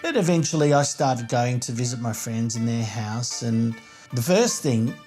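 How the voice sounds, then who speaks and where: Man in his fifties, Greek first language, mid-pitched, reflective interview tone, soft guitar underneath. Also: lively intonation, normal speed. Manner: thoughtful, reminiscing.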